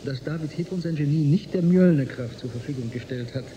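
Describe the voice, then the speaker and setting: A man's voice: on the high side, a little fast, muffled recording, noisy background, close to an everyday voice. A man playing a courteous, idealistic historian with a flirtatious streak in a German radio drama, gallant and slightly pedantic. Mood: earnest, indulgent. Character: courteous, flirtatious, idealistic male historian aboard a space station.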